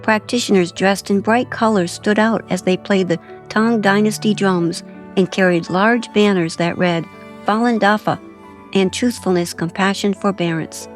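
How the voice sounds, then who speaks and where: A woman reading a scripted news report in a measured, formal manner. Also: medium pitch, faint music behind. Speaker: news reader.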